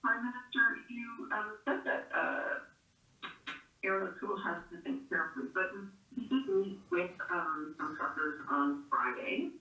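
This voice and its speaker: A woman asking a press conference question as a journalist, in a hesitant, halting way. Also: mid-range voice, lively intonation, pushed and bright, faint music behind. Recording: roomy, distant microphone.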